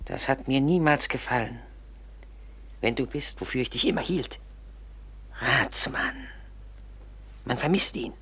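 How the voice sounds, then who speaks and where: An actor playing an envious, scheming robber in a radio drama, speaking in an insinuating, conspiratorial way. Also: male voice, mid-range voice, lively intonation, measured pace, long pauses, pushed and bright, slight background noise. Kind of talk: classic German radio drama acting.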